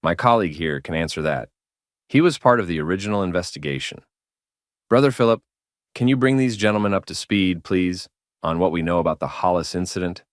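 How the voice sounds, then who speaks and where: Man as formal, puzzle-loving scientist, fairly low and expressive, sci-fi audio drama. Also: fairly quick, dry recording, studio quality. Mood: courteous. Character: courteous scientific investigator, keen on puzzles.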